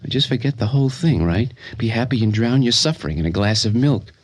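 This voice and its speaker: Husky, sneering inner voice. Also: male voice, mid-range voice, animated, fairly quick. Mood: impatient goading. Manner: blunt, taunting, impatient. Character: sneering, goading inner self of a grieving young man. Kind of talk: old-time radio drama acting.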